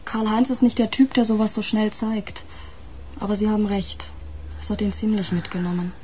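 An actress playing a blunt, wary fiancée in a radio crime drama, speaking in a curt, challenging manner. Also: female voice, medium pitch, moderate pace, dark tone, a little hiss or hum, natural voice.

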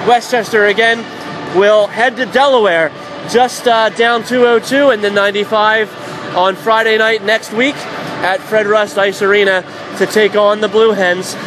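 High-voiced young man calling hockey. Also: noisy background. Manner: rapid excited calls rising to shouts on goals. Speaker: play-by-play announcer. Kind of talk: radio hockey play-by-play. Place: arena press box.

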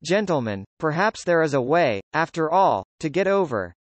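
A man's voice: fairly high pitch, big pitch swings, moderate pace, dry recording. A man reading aloud, voicing a daring male American train engineer who sounds eager and persuasive.

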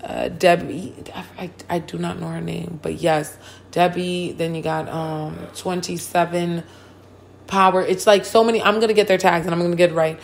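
A hoarse woman hosting a hip hop and politics podcast, talking casually and full of slang and hype. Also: fairly low pitch, steady tone, moderate pace, slight background noise. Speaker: podcast host. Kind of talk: casual chat.

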